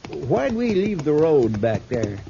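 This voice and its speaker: A man's voice: fairly high pitch, sing-song, takes it slowly, vocal fry, exaggerated voice. An actor playing an earnest, loyal, deferential sidekick to a lawman in a radio western. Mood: puzzled, earnest. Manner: plain, deferential, questioning. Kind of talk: 1950s radio western acting. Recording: dull, noisy old radio recording.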